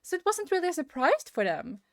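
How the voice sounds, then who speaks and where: A woman speaks as a video creator sharing an informal rant with viewers. Her delivery is conversational and unscripted, moving in halting phrases. She puts clear emphasis on the points she cares about. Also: very high-pitched, huge pitch swings. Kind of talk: casual opinion rant to viewers.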